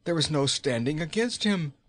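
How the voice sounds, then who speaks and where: A man's voice: mid-range voice, expressive, a little slow, fairly bright tone. A man voicing a frightened, scheming wicked queen in a storybook, urgent and commanding. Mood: panicked. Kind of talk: storybook character reading.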